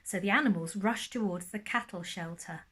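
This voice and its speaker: Female storyteller, mid-pitched, expressive primary teacher reading a bedtime tale to young children. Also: moderate pace, breathy edge.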